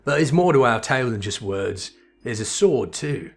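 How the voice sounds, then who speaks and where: Man voicing a gruff, wry tavern keeper. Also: medium pitch, lively intonation, moderate pace, faint music behind. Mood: wry storytelling. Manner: colloquial, teasing, rough-spoken. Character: gruff, wry male tavern keeper telling old tales. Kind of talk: character voice reading video game dialogue.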